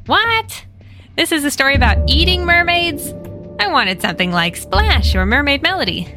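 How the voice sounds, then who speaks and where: An American woman hosting a story podcast, retelling a horror manga in a lively, expressive and wry way. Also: high-pitched, moderate pace, over music. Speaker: podcast host and narrator.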